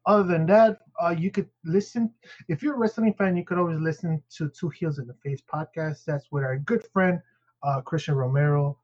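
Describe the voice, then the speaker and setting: A man co-hosts a podcast, English not his first language, wandering through plugs and updates with plenty of "um" and restarts. His voice sits a bit high, and the recording sounds dull and lo-fi. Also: moderate pace, darkish tone. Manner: rambling, many fillers and restarts. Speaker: podcast co-host. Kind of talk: casual podcast chat.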